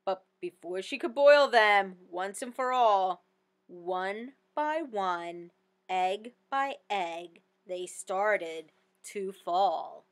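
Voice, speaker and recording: A woman reading a rhyming children's story aloud with expressive, sing-song delivery. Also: medium pitch, extremely slow. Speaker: storybook reader.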